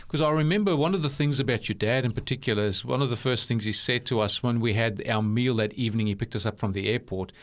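A man's voice: medium pitch, fast talker, hardly any pauses. A man with a mixed South African and New Zealand accent hosting an interview in a studio, chatty and laughing easily. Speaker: interview host. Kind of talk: conversational interview.

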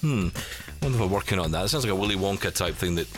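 Low-voiced chatty Scottish man. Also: expressive, rapid-fire, fairly bright tone, faint music behind. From Scotland. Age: 40s. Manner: chatty, rambling, jokey. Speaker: podcast host. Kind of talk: casual chat.

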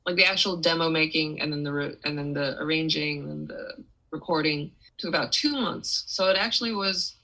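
A woman's voice: low voice, normal speed, pushed and bright, rough recording. A young adult woman from Taiwan, a musician and interview guest, talking casually with many restarts and fillers.

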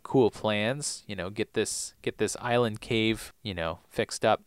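Man with a clear, dry podcast voice. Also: fairly low pitch, measured pace, dry recording. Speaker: podcast co-host. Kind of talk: casual chat, recapping TV episodes.

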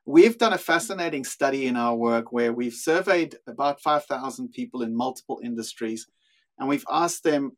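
A man with a South African accent, a guest in a podcast interview, speaking thoughtfully with frequent small restarts as he explains his views. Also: mid-range voice. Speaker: guest, leadership consultant.

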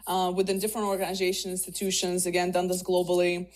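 A woman's voice: fairly low pitch, completely flat delivery, quick pace. A woman in her thirties from Russia, raised speaking Russian, talking as a guest about her career in a casual interview, chatty with many fillers and restarts.

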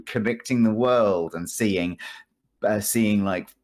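A man's voice: on the low side, slow pace. A man chatting casually on a video game podcast in a wry, sardonic, jokey way. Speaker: podcast guest discussing video games.

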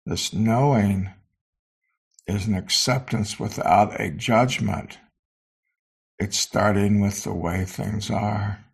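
A man teaching meditation, guiding a sitting in short, sparse phrases with long pauses between them. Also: lowish voice, fairly even intonation, vocal fry, breathy edge. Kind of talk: guided meditation.

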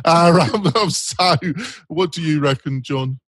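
A man's voice: a little high, slow pace, fairly bright tone, slightly rough, no room sound, studio quality. Chatty North London man hosting. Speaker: panel host. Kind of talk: panel discussion.

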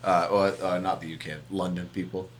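A man's voice: deep voice, level tone, a little fast. A young American man co-hosting a podcast, blunt and contrarian in casual banter, swearing freely. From United States. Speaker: podcast co-host.

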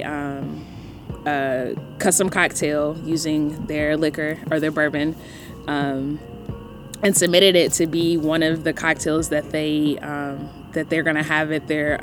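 A young woman with a Northeastern accent and a low voice talks in a casual podcast chat. She is upbeat and chatty and leans on "um" between thoughts. Faint music sits under the conversation. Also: little pitch movement, a little slow. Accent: Northeastern US with Southern phrasing. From North Carolina. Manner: enthusiastic, chatty, many ums.

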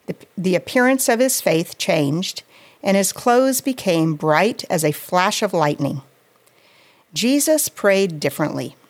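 A woman reading a scripted devotional in a measured, reflective and earnest manner. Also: mid-range voice. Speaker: devotional writer and reader.

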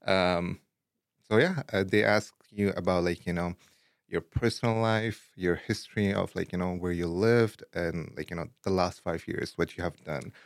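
Deep, gravelly young man, easygoing. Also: fairly even intonation, moderate pace, no echo, studio quality. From Afghanistan. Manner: frequent "like, you know" fillers, laughs easily. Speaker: interview guest. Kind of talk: casual conversational interview.

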